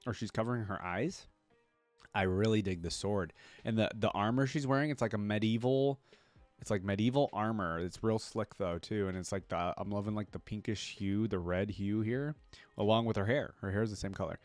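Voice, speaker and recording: A man's voice: fairly low pitch, fairly quick, no echo, soft background music. A man giving casual, slangy reaction commentary as a video host, thinking aloud as he goes.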